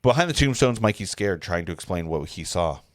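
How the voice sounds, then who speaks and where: A man's voice: deep voice, expressive, fairly quick. A man narrating stage directions in an amateur script read, stumbling over words and correcting himself.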